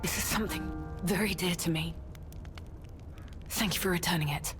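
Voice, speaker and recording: An actress playing a guarded, wistful, soft-spoken woman in a dramatic video game, with measured, hesitant pauses. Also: lowish voice, loud music behind the voice, close to an everyday voice.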